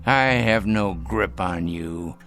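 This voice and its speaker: Slow, sardonic dying old patriarch. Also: male voice, deep voice, fairly bright tone, faint music behind, exaggerated voice. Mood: bitter contempt. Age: older. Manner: theatrical, scornful, deliberate speech. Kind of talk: dramatic radio play acting.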